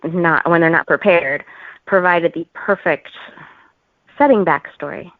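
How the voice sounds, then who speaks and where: A woman talking as an author guest in a casual interview, with an informal, halting, giggly manner. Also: fairly low pitch, no room sound, phone-quality sound.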